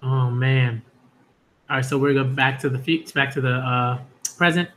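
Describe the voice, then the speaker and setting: Bright, excitable manga-stream guy. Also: medium pitch, moderate pace. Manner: loose, rambling, heavy on fillers and "y'all"; excitable, rising into shouts at story moments. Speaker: manga reviewer streaming live. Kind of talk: casual live-stream chat and reading reactions.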